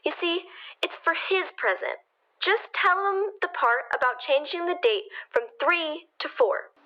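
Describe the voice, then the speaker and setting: A woman's voice: very high-pitched, lively intonation, a little slow, highs cut off. An actress playing a sweet, polite, girlish teenage girl on a telephone, in old-time radio comedy style. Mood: chirpy.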